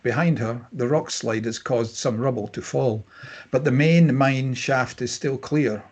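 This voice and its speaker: Male narrator, raspy mid-range voice, reading screenplay action lines. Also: medium pitch, a little slow. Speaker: stage-direction reader. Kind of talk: scripted narration at a table read.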